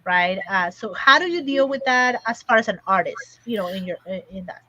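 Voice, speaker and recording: A woman host asking interview questions, halting and hesitant as she speaks. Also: medium pitch, animated, bright, forceful tone, slight background noise. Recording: dull, dry, lo-fi sound.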